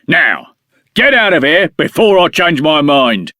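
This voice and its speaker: Casting: officious, insulting guard for a game, measured pace, animated pitch. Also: male voice, dry recording, clean studio sound, exaggerated voice. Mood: contemptuous. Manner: haughty, scolding. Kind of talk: video game character acting.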